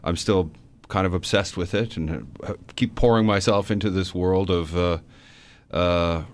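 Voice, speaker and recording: A man being interviewed about his book in a radio studio, talking in a relaxed, hesitant way with many fillers. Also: deep voice, measured pace, clean tone. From Canada. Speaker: author guest.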